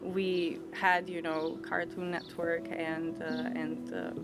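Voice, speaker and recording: A woman in her thirties from Serbia with a Serbian accent, whose first language is Serbian. She tells her own story in a reflective, thoughtful way, often restarting her phrases and laughing softly. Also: on the low side, little pitch movement, slow. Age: 30s. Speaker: interviewee. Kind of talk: personal storytelling. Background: music.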